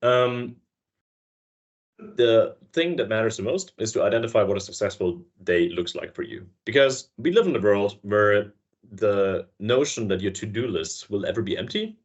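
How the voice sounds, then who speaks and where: A man guest on a podcast who explains his work fluently and step by step, in a relaxed, conversational way. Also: on the low side, moderate pace. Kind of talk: casual interview chat. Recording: dull lo-fi sound.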